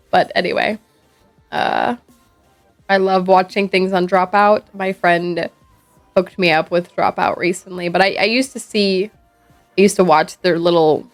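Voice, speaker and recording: A woman from Ohio with a Midwestern accent, chatting casually with her viewers on a livestream and rambling between thoughts. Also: on the low side. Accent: Midwestern American. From Ohio, USA. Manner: rambling, chatty, reads out chat.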